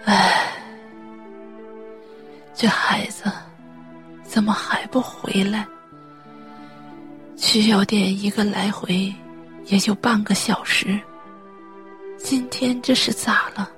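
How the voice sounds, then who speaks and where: A woman playing an anxious, ailing elderly mother in a radio drama, with a breathy, husky voice and a probing manner. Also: a little high, takes it slowly, long pauses, soft background music. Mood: worried, muttering.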